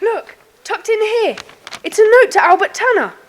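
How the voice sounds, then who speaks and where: A woman's voice: extremely high pitch, expressive, normal speed, big-room sound. A woman playing a keen, curious young schoolchild in a children's audio drama, speaking earnestly and eagerly.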